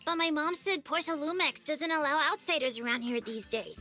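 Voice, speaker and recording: A wary small child in an anime game. The kid is blunt and stubborn, with a high, bright voice over music. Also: female voice, brisk. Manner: guarded, blunt. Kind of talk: video game voice acting.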